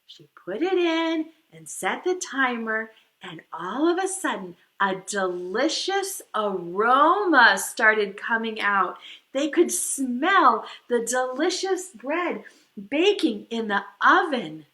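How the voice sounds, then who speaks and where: A woman with a high, bright voice tells a children's story in a singsong, exaggerated way. She takes her time, and the room around her is echoey. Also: big pitch swings, slow. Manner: exaggerated, singsong, prompts listeners to join in. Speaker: preschool teacher and storyteller. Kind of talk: animated storytelling for preschoolers.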